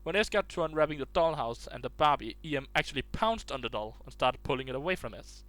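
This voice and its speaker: A man's voice: a little high, lively intonation, quick pace, fairly bright tone, dry recording. Casual man reading online stories aloud. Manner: restarts and stumbles while reading aloud. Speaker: reader of online posts.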